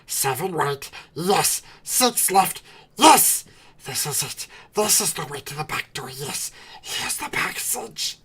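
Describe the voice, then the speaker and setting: Spiteful cave creature, high strained husky voice, wild pitch swings, obsessive repetitions, broken grammar, storybook reading. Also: male voice, big pitch swings, slow, a bit bright, exaggerated voice. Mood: muttering, eager.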